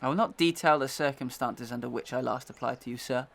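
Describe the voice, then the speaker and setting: A man acts a desperate, earnest gentleman in a Victorian audio melodrama. His mid-range voice is declamatory and impassioned, that of a wronged accuser. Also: medium pitch, fast talker, vocal fry. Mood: anguished, impassioned.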